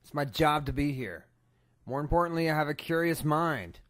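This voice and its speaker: A man playing an earnest radio newsman in an audio drama, solemn and measured as he broadcasts from a disaster. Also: on the high side, lively intonation, fairly bright tone, dry recording, studio quality. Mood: determined. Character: earnest male radio newsman reporting an apocalypse. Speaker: radio news broadcaster and host.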